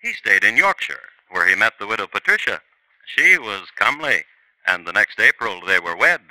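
Wry, lively man narrating old radio crime. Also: lowish voice, normal speed, no room sound, rough recording. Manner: dry, wry storytelling. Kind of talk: scripted 1950s radio narration.

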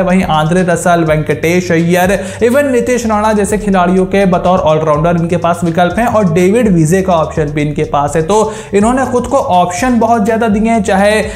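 A young man hosting a cricket analysis video, ranking teams straight to camera and slowing down to stress each announcement. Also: high voice, a little mellow. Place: small indoor studio with brick-pattern wall. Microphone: lapel mic, camera at mid distance. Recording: roomy echo.

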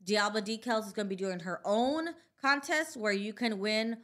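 A chatty woman co-hosting a livestream with a Southwestern US accent and a bright, forward tone. She talks casually into a studio boom mic in a small room, laughing easily and reading out viewer comments. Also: moderate pace. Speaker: co-host. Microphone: studio mic on a boom, headphones.

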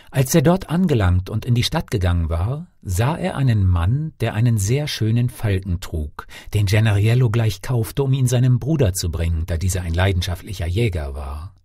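A man reading a fairy tale as an audiobook narrator, expressive and theatrical, with deliberate phrasing. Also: fairly low pitch, husky, no room sound, clean studio sound. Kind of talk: expressive scripted audiobook storytelling.

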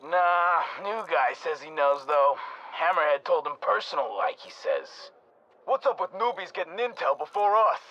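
A scornful New mob goon, gossipy and streetwise. His voice sits fairly high and swings around in pitch, heard through a dulled, radio-like feed. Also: sing-song, moderate pace, sharp tone, lo-fi sound. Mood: irritated. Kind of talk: video game voice acting.

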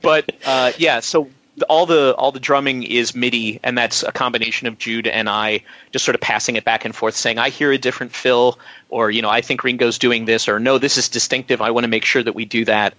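A man chatting casually as a musician guest in an interview, telling stories in an animated, self-deprecating way and acting out remarks. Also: medium pitch, fairly quick, dull audio.

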